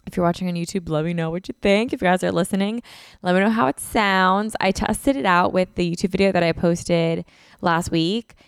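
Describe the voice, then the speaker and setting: A woman's voice: fairly low pitch, brisk, clean tone, dry recording, clean studio sound. A woman in her twenties hosting a casual solo podcast, congested and talking in a chatty way full of "like" and "um" as she records at home on a podcast mic. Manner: chatty, lots of "like" and "um". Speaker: podcast host. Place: beach house living room, indoors.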